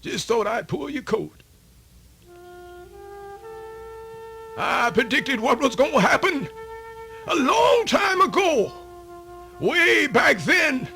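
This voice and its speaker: Radio play lead: a centenarian American yarn-spinner, spirited and folksy, bright gravelly voice, dramatic drawn-out delivery. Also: male voice, very high voice, heavily acted. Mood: fervent, proud. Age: older. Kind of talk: theatrical radio monologue, folksy oral storytelling.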